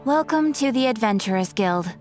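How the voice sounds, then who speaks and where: A woman's voice: fairly high pitch, expressive, moderate pace, darkish tone, faint music behind, natural voice. A woman voicing a polite, businesslike female guild receptionist in a fantasy video game, formal and composed. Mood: courteous. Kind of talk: anime video game voice acting.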